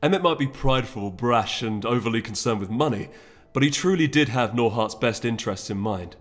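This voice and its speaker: A man reads a fantasy story as its narrator. His voice sits at a middling pitch, with music playing behind the scripted narration. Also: lively intonation, fairly quick. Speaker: audiobook narrator.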